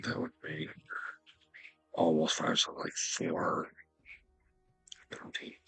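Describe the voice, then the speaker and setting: A man giving casual gameplay commentary as a video host, thinking aloud in a halting mutter to himself. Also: very deep voice, wildly swooping pitch, vocal fry, a bit bright, breathy edge, faint music behind.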